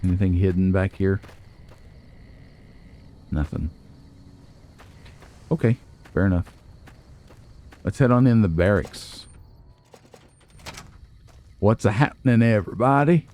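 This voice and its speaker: Male let's play host: low, dark tone, folksy, chatty, thinking aloud as he plays. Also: animated, takes it slowly, long pauses. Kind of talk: casual gameplay commentary.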